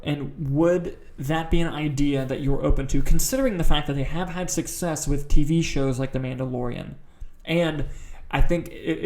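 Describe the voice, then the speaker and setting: A man's voice: on the high side, creaky, a little mellow. A man co-hosting a casual podcast, talking about film news in a blunt, profane, opinionated way. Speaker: podcast co-host.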